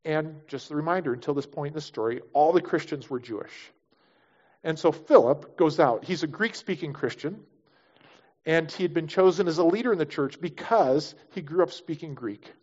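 A pastor delivering a sermon in an easy, conversational way, slipping into little voices for the people he quotes. His voice sits a touch high for a man. The recording is dull and lo-fi. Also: animated, normal speed, a little mellow.

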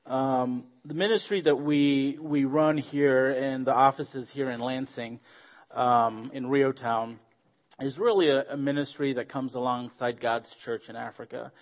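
A man from Zambia, a guest preacher giving a friendly sermon with stories, joking and pausing on fillers. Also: mid-range voice, fairly even intonation, dull audio. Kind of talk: sermon with storytelling.

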